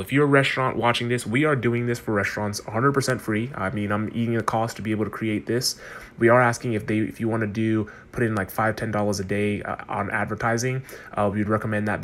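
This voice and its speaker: Guy talking fast through a phone screen demo, lowish voice, bit of hiss behind. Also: fairly bright tone. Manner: quick, chatty, loose with restarts. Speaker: consultant demoing a chatbot. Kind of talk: casual screen-recorded walkthrough.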